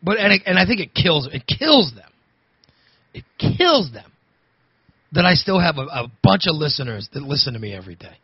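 Hoarse, rambling talk radio host man. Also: a little high, sing-song, moderate pace, no echo, muffled recording. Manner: rambling, repeats himself, restarts sentences. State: hoarse from overusing his voice. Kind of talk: casual talk radio monologue.

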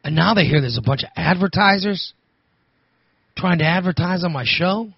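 A man hosting a talk radio show, hoarse from overusing his voice, rambling and restarting his sentences. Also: high voice, lively intonation, normal speed, dry recording, lo-fi sound. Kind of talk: casual talk radio monologue.

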